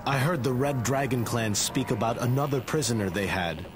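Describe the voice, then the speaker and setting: A man's voice: medium pitch, moderate pace, music in the background, natural voice. An actor playing an earnest young male martial arts hero in a video game cutscene, speaking directly.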